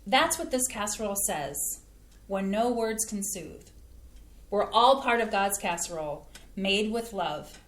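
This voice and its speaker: A woman's voice: mid-range voice, lively intonation, a little slow, close to an everyday voice. A woman acting a sincere, homespun mother and home cook in a scripted staged play reading. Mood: tender, earnest. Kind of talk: scripted community theatre acting. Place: indoor playhouse staged reading. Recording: echoey room with background noise.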